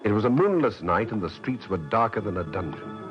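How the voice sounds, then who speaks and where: A man's voice: lowish voice, very animated, fairly quick. An actor playing a headstrong French nobleman who tells of his youthful adventure in an articulate, dramatic radio-drama style. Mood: measured, suspenseful. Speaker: lead actor and narrator. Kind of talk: mid-century radio drama narration and acting. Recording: slightly dull vintage radio recording, music behind.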